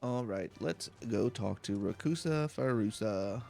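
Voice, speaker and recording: A man giving calm, cozy gameplay commentary as a let's play host, with playful, silly asides. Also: on the low side, a little slow, creaky.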